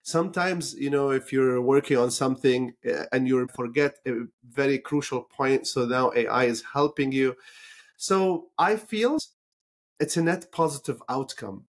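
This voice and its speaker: A man's voice: mid-range voice, unhurried. A man from Lebanon whose first language is not English, hosting a podcast interview in a thoughtful way with fillers and restarts. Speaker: podcast host. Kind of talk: conversational interview.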